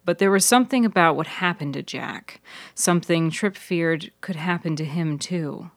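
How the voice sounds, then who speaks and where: A woman reading scripted literary narration in a measured, deliberate manner, as narrator of a serial fiction podcast. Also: low voice, no room sound, clean studio sound.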